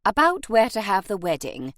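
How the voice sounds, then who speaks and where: A woman's voice: mid-range voice, big pitch swings, moderate pace, a bit bright, no room sound, clean studio sound. A woman voicing an imperious, airy older aristocratic English aunt in an audiobook, emphatic and grandly dismissive. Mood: airy, persuasive. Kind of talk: audiobook character acting.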